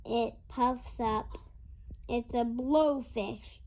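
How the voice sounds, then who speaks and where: A young girl reading a picture book aloud in a halting, word-by-word way. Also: fairly high pitch, fairly even intonation, a little mellow. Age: child. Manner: halting, word-by-word early-reader delivery. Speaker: young reader.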